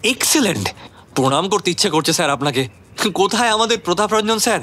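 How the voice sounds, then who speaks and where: Young devotee: effusive, exclamatory, higher-pitched and forceful, for audio drama. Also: male voice, lively intonation, quick pace, exaggerated voice. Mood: elated, admiring. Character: young excitable admirer gushing to his respected mentor. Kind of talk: radio drama acting.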